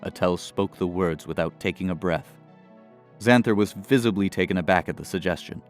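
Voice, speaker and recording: A man's voice: deep voice, normal speed, faint music behind. A man narrating a scripted fantasy audio drama as its storyteller.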